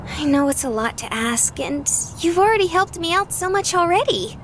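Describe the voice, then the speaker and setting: Very high, peppy young woman. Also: lively intonation, normal speed, background noise. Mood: hopeful pleading. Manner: bubbly, eager. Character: peppy, naive, excitable young woman, pleading sweetly. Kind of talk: visual novel game voice acting. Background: loud music.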